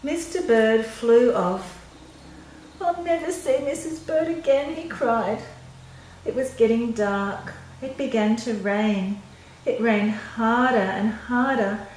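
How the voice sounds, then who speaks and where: Slow-paced older woman reading a children's story. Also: on the high side. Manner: expressive, simple explanatory asides to young listeners. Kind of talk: children's story read-aloud. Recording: roomy echo with clear background noise.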